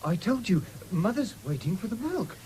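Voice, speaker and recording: A woman's voice: mid-range voice, sing-song, moderate pace, mellow, natural voice. A woman playing a shy, faltering adolescent farm boy in a radio drama. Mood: awkward. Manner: hesitant, faltering. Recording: noisy 1970s radio recording.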